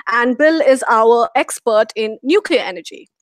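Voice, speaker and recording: A young woman with an Indian accent, moderating a live online question-and-answer session, speaking in a measured way with small fillers. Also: on the high side, animated, dry recording, clean studio sound. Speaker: moderator, program manager. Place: office.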